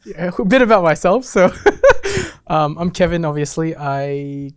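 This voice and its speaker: A man's voice: a little high, animated, measured pace. A man in his late twenties from Malaysia, a guest chatting casually in a self-effacing, rambling way. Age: late 20s. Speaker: interview guest, campus ministry missionary. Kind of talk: casual chat.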